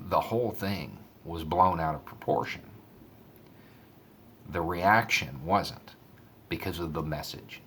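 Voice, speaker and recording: A man giving casual, conversational commentary and storytelling in a measured way. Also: rumbling low, fairly bright tone, slight background noise. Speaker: online commentator.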